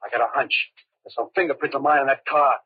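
Husky, jumpy radio gangster. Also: male voice, mid-range voice, fairly even intonation, quick pace. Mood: panicked. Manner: slangy, agitated, blurting. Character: jumpy, tough male gangster cracking under pressure. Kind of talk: 1930s radio crime drama acting. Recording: muffled vintage radio recording.

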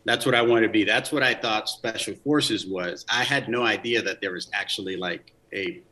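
Guest, male, 40s, from the Dominican Republic; very low pitch, bright forceful tone; earnest storyteller, lots of restarts. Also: normal speed, rough recording. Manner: many restarts and fillers, earnest. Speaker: guest, Army command sergeant major. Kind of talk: casual interview storytelling.

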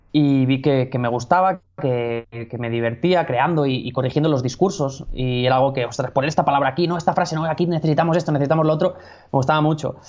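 A man with a Spanish accent from Spain chats as a public speaking coach. He talks thoughtfully and restarts often. The recording sounds dull and lo-fi. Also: medium pitch. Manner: thoughtful, many restarts, laughs easily. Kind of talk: casual interview chat.

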